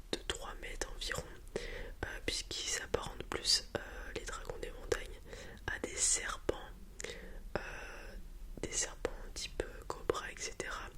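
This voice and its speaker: A person whispering softly and breathily in a hesitant, improvised ASMR roleplay as a helpful dragon breeder explaining to a customer. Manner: hesitant, improvised explaining with many pauses and repetitions.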